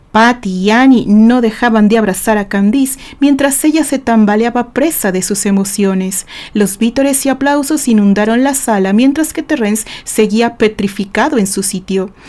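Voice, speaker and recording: A woman with a Mexican accent narrating a dramatic story in measured storytelling. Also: a little high, a little mellow. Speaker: author and narrator.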